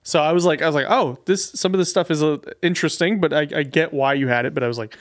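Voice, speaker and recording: A man's voice: a little high, quick pace. A man talks casually as a guest on a podcast. His delivery is self-deprecating and a little nervous, with an informal conversational flow. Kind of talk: casual chat.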